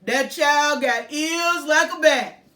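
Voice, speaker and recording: A woman voicing a calm man with a deep, rumbling, musical voice, speaking slowly and gently in a storybook reading. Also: heavily acted. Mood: easygoing. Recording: distant mic.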